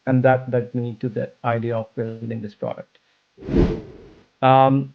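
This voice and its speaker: A man whose first language is not English, answering interview questions about his product in a slow, thoughtful way with many pauses and restarts. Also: medium pitch, dull audio. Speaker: startup founder, interview guest. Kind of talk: podcast interview.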